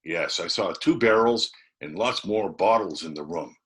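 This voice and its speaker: A man's voice: low voice, moderate pace, fairly bright tone, voice pushed up. A man voicing an anxious, curious rustic boy sidekick in a storybook, with wide-eyed folksy questioning. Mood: wondering, wary. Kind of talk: dramatised storybook reading.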